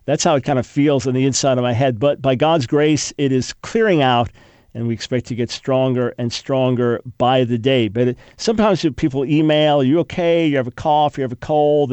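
A man's voice: medium pitch, a little mellow, no echo. An older man hosting call-in talk radio, sounding congested, arguing emphatically with frequent restarts. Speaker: radio talk show host.

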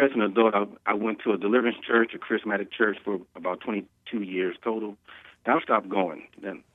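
A man's voice: fairly low pitch, no echo. A man calling in to a radio show, earnestly asking a question about church practice, with a few restarts. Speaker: caller. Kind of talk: phone-in question. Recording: tinny phone line.

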